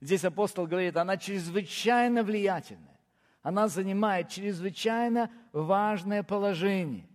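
A man's voice: sits high, normal speed, long reverb tail. A man, a pastor, preaching a sermon and counting off his points with firm, rising emphasis.

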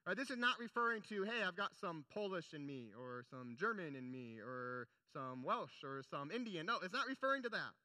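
A man's voice: on the high side, expressive, moderate pace, few pauses, sharp tone, dry recording. A young man preaching a sermon in an earnest, conversational way, with casual asides between scripture readings. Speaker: pastor.

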